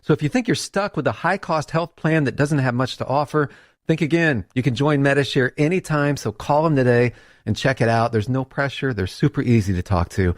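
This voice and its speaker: A man's voice: medium pitch, a little fast, no room sound, studio quality. A man reading a radio ad in an upbeat, persuasive way. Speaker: ad announcer. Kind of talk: radio ad read.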